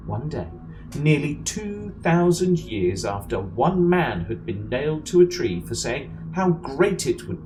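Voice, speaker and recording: A man playing the wry, measured narrator of an amateur science fiction audio drama. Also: on the low side, rough recording. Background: music.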